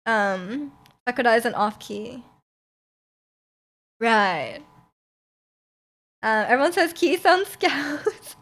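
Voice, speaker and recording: A woman's voice: a little high, lively intonation, takes it slowly, pauses often, a bit bright. Chatty young woman streamer, often laughing. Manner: chatty, laughs often, many fillers and restarts. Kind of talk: casual chat reading viewer comments.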